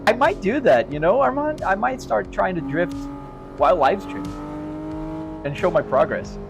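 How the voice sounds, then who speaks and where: Easygoing Brazilian-accented man, slightly high voice. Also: sing-song, a little slow, vocal fry. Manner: easygoing, rambling, restarts and hedges. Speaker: sim racing live streamer. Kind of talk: casual chat answering live chat. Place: home sim racing rig. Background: music playing.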